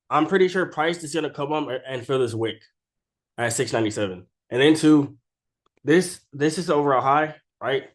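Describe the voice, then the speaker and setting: A man with an average-pitched voice, sick with a cold, talks through market charts in a casual, unscripted way. He is informal and slangy, often tagging his points with a quick check-in question, like a creator explaining his screen to followers. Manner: informal and slangy, keeps tagging statements with "right?". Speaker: stock trading video creator.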